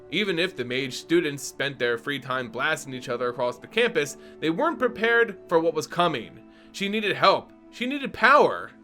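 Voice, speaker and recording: A man with a bright, high-set voice reads a fantasy story with an animated, swinging delivery. He breaks off into playful, teacherly asides to his viewers, with soft guitar music under him. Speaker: lore channel host and narrator. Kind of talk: dramatic story reading and commentary.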